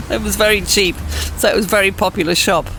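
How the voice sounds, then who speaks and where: A woman's voice: mid-range voice, a little fast, creaky, a bit bright, slight background noise, poor audio. A woman chatting casually and with amusement as she reminisces.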